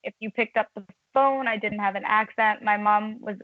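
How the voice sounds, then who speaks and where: A woman's voice: medium pitch, steady tone, moderate pace, dry recording. A woman from India, English not her first language, talking earnestly as a guest. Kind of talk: casual conversation. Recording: tinny phone line.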